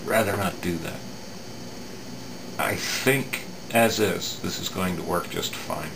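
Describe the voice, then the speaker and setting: Slow, deep, gravelly man at a workbench. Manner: halting, matter-of-fact. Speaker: electronics repair demonstrator. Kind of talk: unscripted hands-on repair demonstration. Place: indoor home electronics workbench. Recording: steady room hum, air-conditioning noise.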